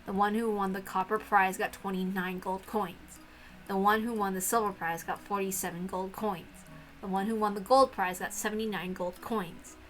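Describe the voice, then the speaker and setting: A woman's voice: medium pitch, steady tone, moderate pace, faint music behind, close to an everyday voice. Woman playing a cool, confident puzzle challenger. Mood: dry, confident.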